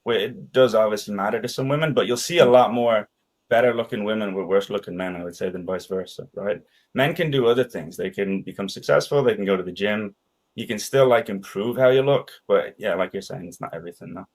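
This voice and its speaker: Lower-voiced raised man guest. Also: brisk. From Ireland. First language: Danish. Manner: relaxed, rambling, often ends with "right?". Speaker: podcast guest. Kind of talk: casual interview chat.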